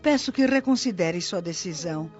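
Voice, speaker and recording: A woman's voice: fairly low pitch, expressive, normal speed, a little mellow, highs cut off, soft background music, natural voice. A woman playing a compassionate, earnest nurse in a radio drama. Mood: pleading. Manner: earnest, pleading. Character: compassionate, earnest spirit-world nurse pleading for mercy.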